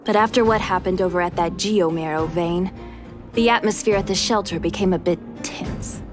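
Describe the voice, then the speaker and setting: An actress voicing an earnest, loyal female miner in a video game, calm and explanatory. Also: fairly low pitch, moderate pace, over music, lightly acted. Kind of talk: measured video game dialogue.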